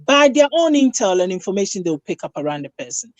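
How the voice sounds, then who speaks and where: A woman's voice: medium pitch, sing-song, fast, dry recording. A Ghanaian woman in a group discussion, telling her story emphatically and with indignation. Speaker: panelist. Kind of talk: casual discussion.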